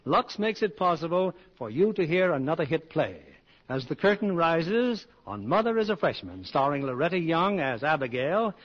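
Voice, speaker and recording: A man's voice: on the high side, very animated, moderate pace. A man hosting and narrating a 1940s radio drama in a formal, polished showman's manner, reading from a script. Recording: dull old 1940s radio broadcast.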